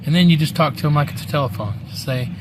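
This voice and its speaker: A man's voice: fairly high pitch, fast, noisy background. A man talking casually as a radio hobbyist and mentor, explaining in a folksy, wandering way. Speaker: ham radio operator and mentor. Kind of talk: casual explanatory vlog talk. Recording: loud music behind the voice. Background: loud music.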